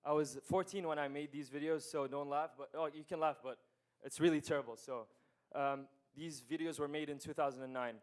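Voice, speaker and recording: A man's voice: fairly high pitch, steady tone, fairly quick. A man in his early twenties giving a casual, hesitant student presentation at a conference, with many fillers and restarts. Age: 20s. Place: conference hall.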